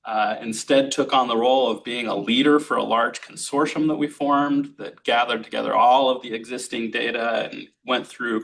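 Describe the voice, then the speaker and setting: A man who runs the lab introduces his student at a thesis defense, praising him in a relaxed way with fillers. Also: mid-range voice, creaky, rough recording. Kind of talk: introductory remarks.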